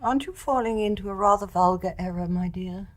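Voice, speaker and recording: Woman as elderly mother, medium pitch, wise and candid, measured and genteel radio drama delivery. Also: close to an everyday voice. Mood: gentle reproof. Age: older. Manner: reflective, gently reasoning, genteel. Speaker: actor in a radio play.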